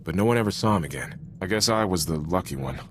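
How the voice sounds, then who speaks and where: A man's voice: low-pitched, expressive, fast, background noise. A man playing an earnest, loyal Republic soldier in a video game, speaking frankly in an informal, sincere military manner. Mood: confiding, troubled. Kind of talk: cinematic video game voice acting.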